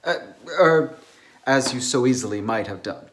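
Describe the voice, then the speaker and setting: A man's voice: medium pitch, expressive, normal speed, a bit bright, a little hiss or hum, close to an everyday voice. A man playing a polite, slightly awkward scholarly bachelor in a dramatized novel reading. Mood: genial, polite. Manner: courteous, slightly awkward, hesitant. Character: polite, hesitant single man, a scholarly dinner host.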